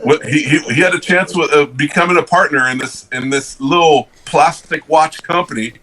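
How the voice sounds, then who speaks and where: A man's voice: on the high side, moderate pace, pushed and bright, poor audio. A middle-aged man from Switzerland, a guest in a casual podcast chat, telling stories with many fillers and restarts. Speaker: cigar rep guest.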